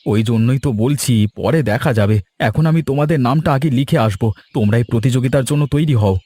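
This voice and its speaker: A man's voice: mid-range voice, fast talker, warm tone, no echo, close to an everyday voice. A man voicing an anxious village family member in a cartoon, with music behind him. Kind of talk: cartoon voice acting.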